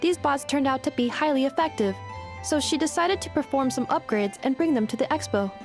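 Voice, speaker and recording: A woman voicing a polite, composed young robot assistant in a video game, explaining things in a formal, measured, matter-of-fact way. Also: high voice. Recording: faint music behind the voice.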